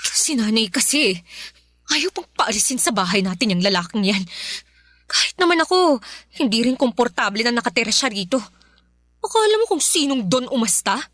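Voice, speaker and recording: A woman's voice: fairly high pitch, very animated, normal speed, fairly bright tone, no echo, studio quality. A woman with a Filipino accent playing a fed-up, protective young elder daughter in a radio drama, emphatic and reproachful. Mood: frustrated, resolute.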